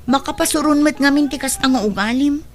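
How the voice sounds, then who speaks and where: A woman's voice: sits high, a little fast, natural voice. A woman playing an impatient, sulky young girlfriend in an audio drama, with a nagging, exasperated manner.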